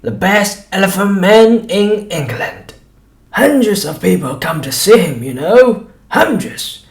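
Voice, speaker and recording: A man's voice: sits high, very animated, slow, vocal fry, reverberant, voice pushed up, heavily acted. A man voicing a bullying, fawning, greedy sideshow shopkeeper for an audiobook, with emphatic, servile delivery. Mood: fawning, boastful. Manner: fawning, emphatic, says sir repeatedly. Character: loud, grinning, greedy male sideshow shopkeeper, bullying and obsequious. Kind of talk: audiobook character voice.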